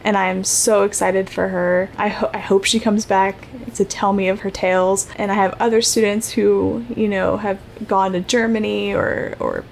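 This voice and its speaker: A woman's voice: medium pitch, creaky, slight background noise. A young woman from Ohio hosting a travel podcast in a casual, rambling monologue with many fillers and restarts. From Ohio, United States. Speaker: podcast host.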